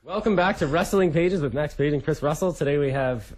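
A young man hosting a casual radio interview, feeling his way through questions with halting phrasing. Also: a little high, rough recording. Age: young adult. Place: radio studio.

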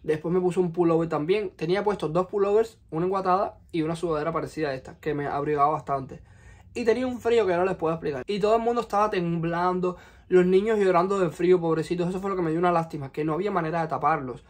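A young man in his twenties from Cuba, speaking with a Cuban Spanish accent, telling a personal story to the camera in his bedroom with lively, expressive delivery. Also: sits high, fast. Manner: animated, expressive, gesturing with hands. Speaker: vlogger. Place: small bedroom, indoors. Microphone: camera in front at close range.

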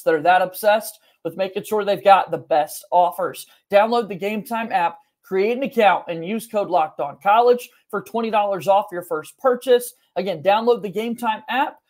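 A man's voice: high voice, a little mellow. A young man hosting a sports podcast, speaking from a small room at home. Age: young adult. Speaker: podcast host.

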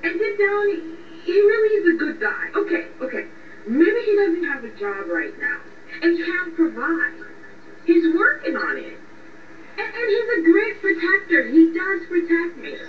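A woman's voice: very high voice, sing-song, a little slow, full character voice. A woman playing a lovesick, vulnerable young woman in a radio drama, hesitant, defensive and pleading. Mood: defensive, hurt. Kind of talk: radio drama phone conversation. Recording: muffled, echoey, distant, faint noise.

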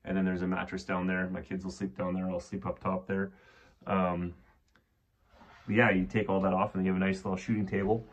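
A man's voice: sits low, brisk, slightly rough, lo-fi sound. A young man giving a casual, rambling walkthrough of a small wooden shack, filmed on a handheld phone held at arm's length. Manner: relaxed, rambling, frequent restarts and fillers. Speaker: vlogger. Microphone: handheld phone camera at arm's length.

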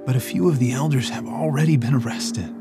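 A man's voice: medium pitch, fairly quick, lightly acted. A middle-aged man acting as a worried, devout family man in a film drama, earnest and grave. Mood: anxious. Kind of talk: dramatic film narration and dialogue. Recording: loud music over the voice.

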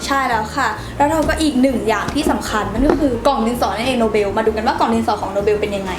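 A teenage high school girl hosting a student vlog in a chatty, polite presenter style. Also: rapid-fire. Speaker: student host of a school channel. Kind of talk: scripted vlog presenting to camera. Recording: roomy echo, music behind. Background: background music.

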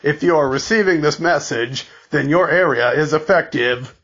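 A man reads a scripted emergency broadcast announcement in a formal, deliberate way, halting and repeating words, like an amateur. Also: fairly high pitch, a bit bright, husky, highs cut off.

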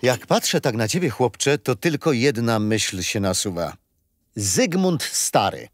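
An actor playing a kindly, patient grandfather telling stories in a children's radio play, unhurried and expressive. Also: medium pitch, no room sound. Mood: gently teasing. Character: kindly grandfather storyteller, patient, teasing, wise.

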